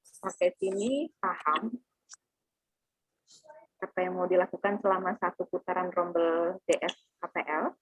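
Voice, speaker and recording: A woman's voice: lowish voice, fairly even intonation, measured pace, long pauses, slightly rough, dry recording, soft background music. A woman teaching an online class, explaining plans and often checking that students understand. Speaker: teacher giving a class briefing. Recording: narrow phone-like online call audio.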